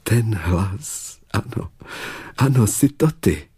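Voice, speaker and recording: Muž předvádí oddanou, něžnou a úzkostnou mladou ženu z pohádky, mluví citově a naléhavě. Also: male voice, fairly low pitch, lively intonation, warm tone, breathy edge, no room sound, studio quality. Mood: overjoyed. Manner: emotional, pleading. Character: devoted young woman, tender, anxious, pleading fairy-tale heroine.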